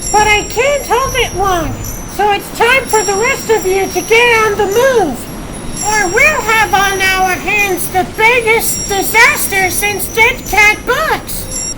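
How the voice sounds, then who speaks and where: Very high-pitched cartoon cat sorceress. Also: female voice, very noisy. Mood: urgent, strained. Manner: theatrical, drawn-out, punning. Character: female cartoon cat sorceress superhero, sassy and theatrical. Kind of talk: comic book dub acting.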